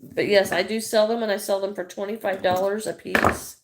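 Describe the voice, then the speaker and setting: A woman's voice: mid-range voice, steady tone, moderate pace, heavy background noise. A middle-aged Midwestern American woman chatting casually on a crochet livestream, greeting viewers by name and thinking aloud as she works.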